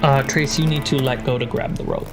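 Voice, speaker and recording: Game master for fantasy actual-play, mid-range pitch, descriptive narration to players, prominent score behind. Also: fairly even intonation, a little fast. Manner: second-person descriptive narration. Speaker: game master of a tabletop roleplaying show. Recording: loud cinematic music behind the voice. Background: cinematic score and sound design.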